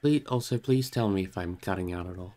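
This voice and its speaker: Low-voiced man reading aloud. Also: a little fast, dark tone. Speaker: streamer reading a book aloud. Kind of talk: audiobook-style narration with casual livestream chat.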